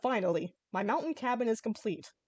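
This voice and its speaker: A woman's voice: a little high, animated, a little fast, darkish tone, no room sound. A woman voicing a weary, grumbling male dragon recluse in a hobby story reading. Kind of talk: hobby fan fiction reading.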